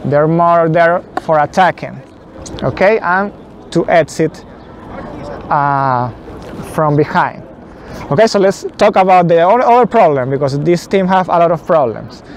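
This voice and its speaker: A man in his thirties whose first language is not English, slowly explaining football tactics at a whiteboard outdoors, often saying "okay". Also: a little high, expressive. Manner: slow, deliberate, frequent "okay" fillers. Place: outdoors in a city square. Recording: faint outdoor city noise.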